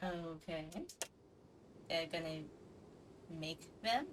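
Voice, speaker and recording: A woman's voice: low voice, drawn-out words, noisy background. A woman livestreaming a video game, giving casual commentary as she plays. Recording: game music behind the voice. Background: video game music.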